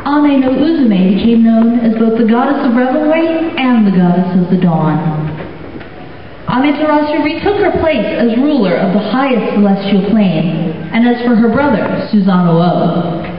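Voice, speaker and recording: A woman narrating a myth live at a convention event, telling it slowly and drawing out the names. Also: a little high, animated, a little hiss or hum. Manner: slow, deliberate, stretching out names. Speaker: storyteller. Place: convention event hall with audience. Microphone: distant from the recording mic. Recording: very echoey hall, distant, muffled.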